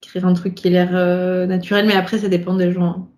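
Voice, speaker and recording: A woman's voice: fairly low pitch, little pitch movement, brisk, darkish tone. A woman whose first language is not French, co-hosting a podcast in casual chat, talking freely and laughing often. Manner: chatty, laughs often, wraps up the show. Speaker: podcast co-host.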